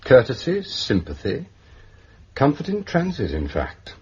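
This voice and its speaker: An actor playing an urbane gentleman detective with an educated English accent in a radio drama, musing and dryly understated. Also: medium pitch, huge pitch swings, measured pace, breathy edge, exaggerated voice. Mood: dry, wry. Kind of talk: British radio drama acting. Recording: dull, muffled radio recording.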